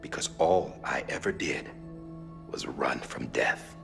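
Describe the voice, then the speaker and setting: A haunted, middle-aged American war veteran confesses gravely in a video game drama. He speaks slowly and low, halting as he goes, with loud music underneath. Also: heavily acted. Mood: weary, haunted.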